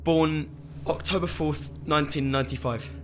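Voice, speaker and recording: A man's voice: fairly high pitch, fairly even intonation, normal speed, faint music behind, close to an everyday voice. An actor playing a nervous young male civilian being questioned in an audio drama, hesitant and anxious in his answers. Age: 20s to 30s.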